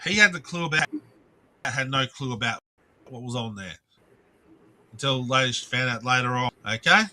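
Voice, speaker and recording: A man's voice: medium pitch, moderate pace, pushed and bright. A man ranting on a live stream, rambling and restarting his sentences, swearing and breaking off to greet viewers in the chat.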